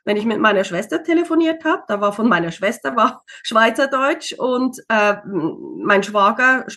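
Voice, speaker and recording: Woman, 30s, interview guest; relaxed chatter, light laughs, slightly raised pitch. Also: normal speed. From Switzerland. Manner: chatty, many fillers, laughs easily. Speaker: interview guest, single mother. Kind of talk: casual conversational interview.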